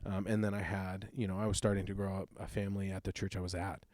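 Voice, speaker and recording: Earnest low-voiced man in his thirties. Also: level tone, darkish tone, no echo, studio quality. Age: 30s. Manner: earnest, reflective, halting speech. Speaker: youth pastor sharing his testimony. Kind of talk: personal testimony in a conversation.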